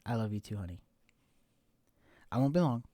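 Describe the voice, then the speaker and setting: A man's voice: lowish voice, lively intonation, moderate pace, dark tone, a little hiss or hum. A man acting as an affectionate husband in a scripted audio roleplay, talking in a casual, intimate way. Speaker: voice actor playing an affectionate husband.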